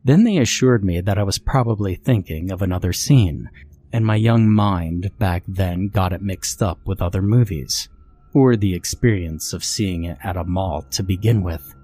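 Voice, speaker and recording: Man, low-pitched, very dark mellow tone, measured horror story narration. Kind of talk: scripted first-person story narration.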